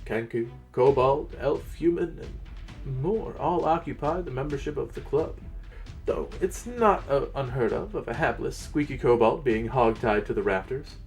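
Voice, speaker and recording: A man hosting and narrating fantasy stories as a game master, theatrical, with weighted pauses. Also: medium pitch, faint music behind. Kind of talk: dramatic reading and channel presenting.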